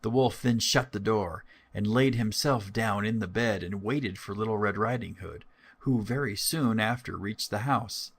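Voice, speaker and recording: A man's voice: lowish voice, unhurried, husky. A man narrating a scripted fairy tale as a storyteller.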